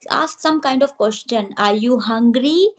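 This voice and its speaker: Woman english teacher explaining stuff, firm and encouraging, kinda high voice. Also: a little slow. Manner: firm, instructive, reassuring. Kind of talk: advice in an interview.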